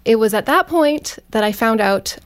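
A woman in her thirties, a teacher and guest, telling her story in a quick, chatty way. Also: a little high. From Canada. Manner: quick, lively, chatty with fillers. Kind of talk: casual storytelling interview.

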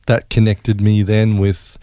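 A man's voice: fairly low pitch, flat delivery, measured pace, dark tone, dry recording, studio quality. A middle-aged man with an Australian accent, telling his personal story as an interview guest in an earnest, emphatic way, repeating phrases for stress. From rural New South Wales, Australia. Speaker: interview guest, ministry CEO and former army serviceman. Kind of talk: personal testimony in a conversational interview.

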